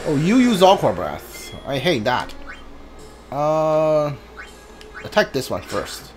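Male streamer, casual game commentary, slightly high voice, game music behind him. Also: big pitch swings, slow, darkish tone. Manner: thinking aloud in short muttered remarks. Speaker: let's play streamer. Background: video game music and sounds.

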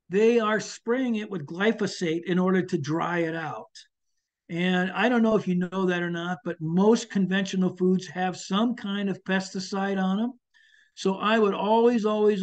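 An older man, a chef, answering audience questions on a video call, hesitant with many restarts and fillers. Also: high voice. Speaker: chef and foraging instructor. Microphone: video call webcam. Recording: dull lo-fi video call audio.

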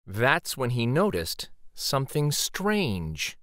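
A man reading a children's story aloud dramatically, with theatrical, suspenseful pauses. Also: medium pitch, huge pitch swings, fairly bright tone, no room sound, clean studio sound.

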